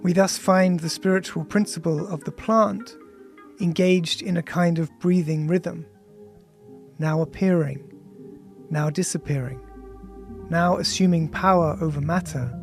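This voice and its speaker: A man with a high, noticeably creaky voice narrates an audiobook as its author. His delivery is measured and contemplative, with deliberate pauses between phrases. Music plays behind him in the studio recording. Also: fairly even intonation. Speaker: author reading own audiobook.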